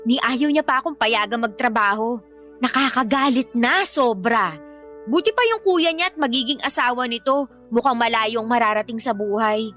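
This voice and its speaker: A woman's voice: fairly high pitch, moderate pace, a bit bright, faint music behind, natural voice. A woman playing an exasperated young mother who complains emphatically about her partner in a Filipino radio-style drama. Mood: resentful, fed up.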